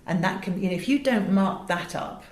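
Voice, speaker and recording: A woman's voice: medium pitch, expressive, brisk. A woman with an English accent, talking in an interview as a director, explaining her craft in an articulate way. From England. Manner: articulate, illustrates points with acted examples. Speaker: voice director and audiobook producer. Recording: roomy, echoey sound.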